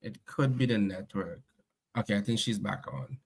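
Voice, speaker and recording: A man's voice: lowish voice, moderate pace, gravelly, heavy background noise. A man hosting an online panel, upbeat and courteous, keeping things moving when a guest's connection drops. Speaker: host. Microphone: video call.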